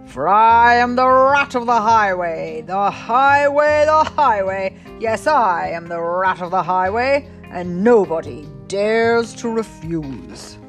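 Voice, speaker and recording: A woman voicing a greedy, bullying male rat highwayman in a storybook, booming and gloating in a slow chant.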